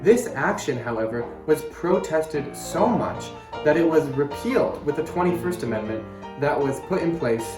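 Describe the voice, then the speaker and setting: A young man with a slightly high voice narrates an educational video from a script. The room is clearly echoey, and plucked guitar music plays behind him. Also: expressive, normal speed. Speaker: presenter and student actor on a sound team. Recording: roomy echoey recording with music. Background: plucked guitar background music.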